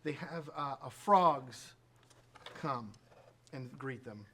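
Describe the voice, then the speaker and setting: A man's voice: mid-range voice, takes it slowly, fairly bright tone, slight background noise. A man from a radio drama troupe, casually prompting sound effects and rambling with many fillers and restarts. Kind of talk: casual demonstration talk.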